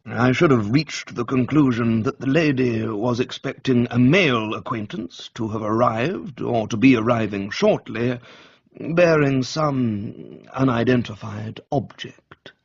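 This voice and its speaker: A man voicing an unflappable, deferential English valet with formal, measured, refined speech in a dramatised book reading. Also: medium pitch, husky, muffled recording. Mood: unruffled.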